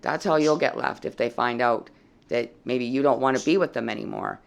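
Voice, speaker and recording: A tarot reader talks through a spread in a casual, blunt way and swears now and then. The voice sits at a middling pitch and is dry and froggy, with a heavy gravelly fry. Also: moderate pace. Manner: blunt and plain-spoken, swears freely. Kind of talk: casual tarot reading to camera.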